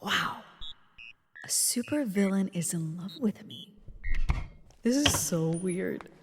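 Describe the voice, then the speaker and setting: An actress playing an awkward, exasperated secret agent with a hesitant, dry manner, in an audio comedy drama. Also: female voice, medium pitch, lively intonation, takes it slowly, background noise. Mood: awkward, stunned.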